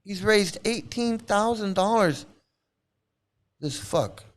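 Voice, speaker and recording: A middle-aged man rants in loose commentary, scornful and profane, circling back to the same words again and again. His voice sits high for a man and comes through smooth and clear. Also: slow pace. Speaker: commentary channel host. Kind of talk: casual ranting commentary.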